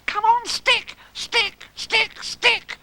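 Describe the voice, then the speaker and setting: A small, childish animal from a storybook, squeaking very high above a normal speaking voice. It is eager and excitable, bursting with questions and exclamations in a bright tone. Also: male voice, extremely slow, heavily acted. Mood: excited. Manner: breathless repeated questions and exclamations.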